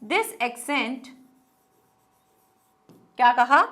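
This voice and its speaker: A woman teacher giving an online class, dictating answers slowly while she writes and explaining in Hindi mixed with English. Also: high-pitched, expressive, pushed and bright.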